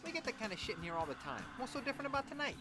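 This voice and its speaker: An actor playing an agitated, brash street criminal guard in a video game, slangy and swearing. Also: male voice, very high-pitched, sing-song, very fast, loud music behind the voice.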